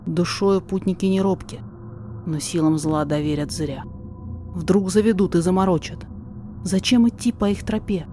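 A woman reading a rhymed story aloud as theatrical, deliberate dramatic narration. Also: lowish voice, warm tone. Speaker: podfic reader. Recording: music behind the voice.

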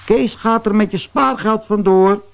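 A man's voice: high-pitched, measured pace, creaky, clean tone, pitched above the performer's own voice. A man voicing a brave, confident little baby superhero in a children's storybook. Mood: urgent.

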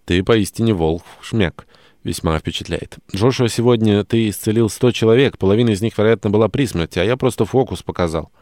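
A man's voice: on the low side, a little fast, a little mellow, no echo, studio quality, pitched above the performer's own voice, natural voice. A man voicing an earnest, enthusiastic and slightly didactic male companion in an audiobook. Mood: excited admiration. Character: earnest, enthusiastic, mildly preachy male friend.

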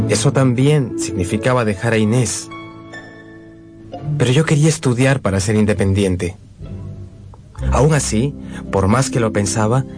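An actor playing an earnest, polite young man who tells his own story in a radio drama, with measured, expressive delivery. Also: medium pitch, lightly acted. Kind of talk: scripted narration with acted dialogue, radio drama. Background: background music.

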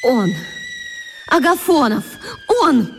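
A fretful, soft-hearted woman teacher in a children's radio play. Her voice sits fairly high, and she argues with herself in short, agitated bursts. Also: lively intonation, slow, creaky, noisy background, full character voice. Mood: indignant, hurt. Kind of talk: children's radio drama acting.

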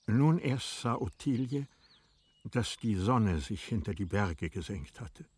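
A man with a husky voice narrating a literary radio play in a dramatic storytelling style. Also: lowish voice, animated, slow, a little mellow, dry recording.

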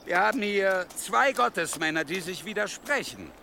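A man's voice: sits high, animated, normal speed, gravelly, bright, forceful tone, a little hiss or hum, exaggerated voice. A man playing a coarse, mocking medieval crossbow guard in a radio drama, sneering and vulgar. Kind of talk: German radio drama acting.

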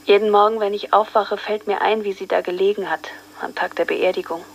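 A woman's voice: mid-range voice, steady tone, brisk, lightly acted, rough recording. An actress playing a solemn, grieving small-town woman in a radio drama.

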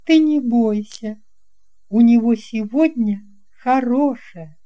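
A woman voicing a kind, confident eight-year-old girl with a gentle, reassuring manner in a children's audio storybook. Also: on the high side, slow pace, voice pushed up. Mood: helpful, confiding.

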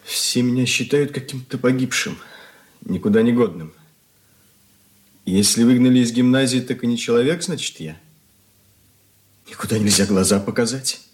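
An actor playing a troubled, ashamed young son in an earnest radio drama confession. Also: male voice, medium pitch, normal speed, long pauses, close to an everyday voice. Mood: anguished. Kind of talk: Soviet radio play acting.

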